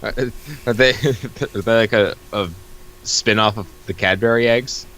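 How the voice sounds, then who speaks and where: A man's voice: lowish voice, fairly even intonation, unhurried, fairly bright tone, slight background noise, low-quality recording. A man co-hosting in casual banter, sardonic and exasperated, with plenty of swearing. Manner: exasperated, sardonic, foul-mouthed. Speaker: co-host reacting to a webcomic.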